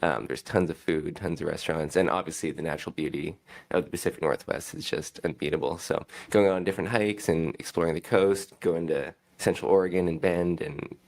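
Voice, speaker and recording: A man in his thirties from Hong Kong, a financial advisor, chatting as a guest in a relaxed, friendly way. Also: sits low, fairly quick, gravelly. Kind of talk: casual podcast chat.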